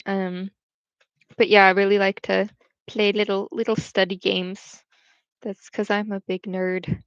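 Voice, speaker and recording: A woman's voice: medium pitch, level tone, measured pace, smooth, no echo. A young woman hosting a casual livestream, chatting and reading out viewer comments, rambling between English and French. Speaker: livestream host. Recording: dull, dry home stream audio.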